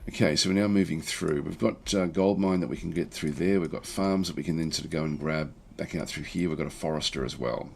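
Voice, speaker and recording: A man with a croaky, recovering voice giving casual, halting livestream commentary as he plays a strategy game and chats with viewers. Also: a bass voice, darkish tone, a little hiss or hum. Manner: halting, thinking aloud. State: croaky throat, recovering from feeling unwell. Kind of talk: casual game commentary, reading and answering chat.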